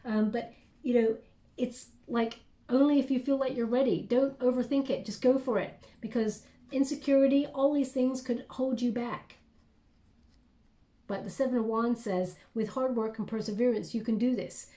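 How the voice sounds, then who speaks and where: A woman's voice: fairly high pitch, fairly even intonation, normal speed, dark tone, roomy sound. A woman giving a tarot reading in an encouraging, reassuring way, with frequent fillers. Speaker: tarot reader.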